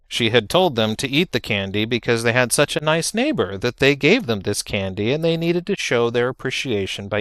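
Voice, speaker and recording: A man reading a scripted, humorous first-person story in a dryly formal, measured way. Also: medium pitch, a bit bright, clear, resonant tone, no room sound. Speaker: storyteller, narrator.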